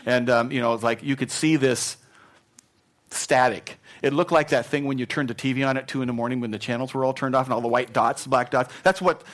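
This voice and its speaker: Animated middle-aged male preacher. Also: mid-range voice, brisk. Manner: conversational and animated, with jokes and acted quotes; softens to a gentle, earnest tone when encouraging. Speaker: pastor. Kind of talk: sermon. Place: church.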